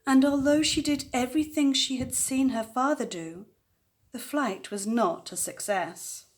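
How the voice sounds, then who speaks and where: An older woman telling a children's story aloud with expressive narration. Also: high-pitched, measured pace. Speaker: storyteller, grandmother reading aloud.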